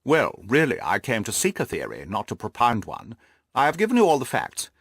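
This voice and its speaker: A male reader acting a stuffy, haughty lord from a classic audiobook. He speaks formally and at length, full of self-importance, with lively swings of pitch in a close, dry recording. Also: brisk, gravelly, husky, no echo, heavily acted. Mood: pompous. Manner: pompous, formal, long-winded. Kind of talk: audiobook character dialogue.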